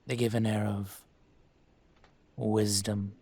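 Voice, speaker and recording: A man playing an aging dragon mafia boss in a roleplay audio drama, speaking in a smooth, deliberate, courtly manner with veiled menace. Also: fairly low pitch, little pitch movement, darkish tone. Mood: wry, self-satisfied. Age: older.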